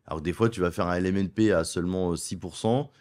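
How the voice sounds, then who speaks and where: Persuasive low-voiced French man. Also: a little mellow. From France. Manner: persuasive, lists points in quick runs. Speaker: wealth advisor and property investment guest. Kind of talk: conversational interview. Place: studio.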